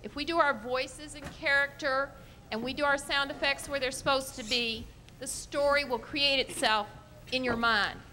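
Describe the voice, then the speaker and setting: A woman's voice: high voice, steady tone, moderate pace, sharp tone. A woman giving a welcoming speech to an audience as a school principal at a live stage event. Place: large echoey hall, live stage event. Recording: echoey hall, some background noise.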